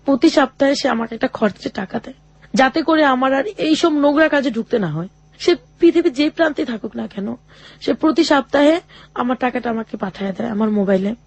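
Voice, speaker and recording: A woman, an interview guest, telling her own hard life story in a halting, earnest way and often quoting what others said to her. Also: sits high, lively intonation, gravelly, no room sound.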